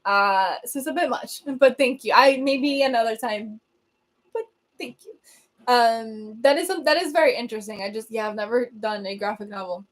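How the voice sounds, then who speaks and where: A young woman from Venezuela, a native Spanish speaker, hosting a livestream and chatting casually as she answers comments, laughing often and filling pauses with um. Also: a little high. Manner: chatty, laughs often, many ums and restarts. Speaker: livestream host.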